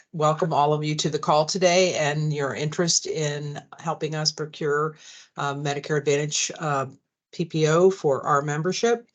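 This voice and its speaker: A woman executive director giving polite, somewhat halting welcome remarks on a business conference call. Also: sits low.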